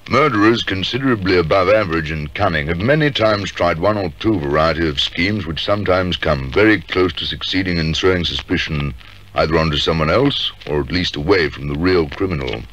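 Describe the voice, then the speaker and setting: Deep, grave detective narrator. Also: male voice, fairly bright tone. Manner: formal, measured. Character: grave, authoritative police detective introducing a case. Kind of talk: scripted 1950s radio narration. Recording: dull, hissy 1950s radio recording.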